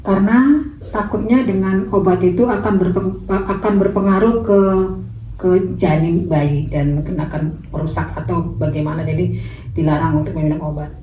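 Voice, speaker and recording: A woman's voice: on the low side, fairly even intonation, normal speed, dark tone, roomy sound, slight background noise, low-quality recording. A woman in her fifties answering interview questions hesitantly, with many fillers. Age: 50s. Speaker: interviewed mother.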